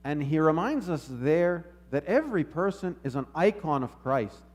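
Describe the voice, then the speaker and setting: A man's voice: on the high side, lively intonation, darkish tone. A man delivering an explanatory church sermon, thinking aloud and often repeating words as he goes. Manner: halting, reflective, repeats words while explaining. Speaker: preacher. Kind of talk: sermon, explanatory and semi-extemporaneous.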